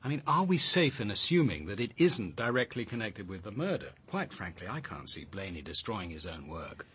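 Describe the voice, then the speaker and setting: An actor playing an analytical detective with an English accent, speaking precisely and with understatement in a radio drama. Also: male voice, on the low side, sing-song, normal speed, fairly bright tone, breathy edge, full character voice. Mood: measured, sceptical. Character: measured, analytical male detective visiting his aunt's village. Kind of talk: naturalistic British radio drama acting.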